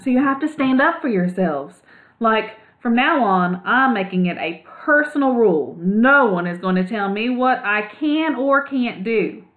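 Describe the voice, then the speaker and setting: A woman's voice: a little high, lively intonation, unhurried, voice pushed up. A woman voicing an eager young male mouse who talks boldly and pushily in slang. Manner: earnest, slangy, pushy. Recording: tinny phone-like sound.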